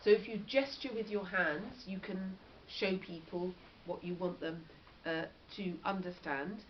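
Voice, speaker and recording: A woman, a teacher reading a story aloud and putting questions to her class in an expressive, encouraging way. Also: lowish voice, a little slow. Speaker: primary school teacher. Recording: dull lo-fi recording with background noise.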